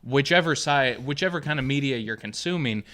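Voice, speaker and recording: A man's voice: medium pitch, expressive, fairly quick, bright, forceful tone. A young adult man co-hosting a casual talk podcast, thinking aloud with many restarts and fillers. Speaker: podcast co-host.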